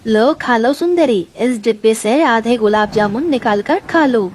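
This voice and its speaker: A woman's voice: fairly high pitch, a little slow, a little hiss or hum, lightly acted. A woman voicing a lazy, greedy male crow in a cartoon story, boastful and gloating. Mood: sly. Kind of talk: Hindi cartoon story voice acting.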